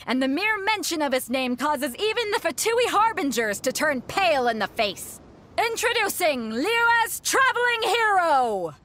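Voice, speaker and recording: A woman's voice: bright, forceful tone, exaggerated voice. An actress voicing a bold, confident female sea captain who organizes a fighting tournament and teases her companions, in a game cutscene with music behind her. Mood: announcing. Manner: booming announcer calls to a crowd. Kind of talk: video game voice acting.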